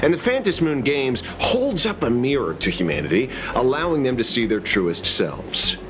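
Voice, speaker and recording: A man playing a wry, world-weary but sharp private detective in a video game, speaking in a dry, musing way. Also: medium pitch, sing-song, normal speed, background noise, close to an everyday voice. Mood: wry, philosophical.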